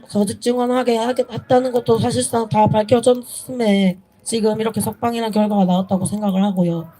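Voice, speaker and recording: A young woman giving an earnest, pleading protest speech, halting and correcting herself as she goes. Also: a little high, dark tone, poor audio. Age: young adult. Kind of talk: impromptu political rally speech. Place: outdoors at a street protest.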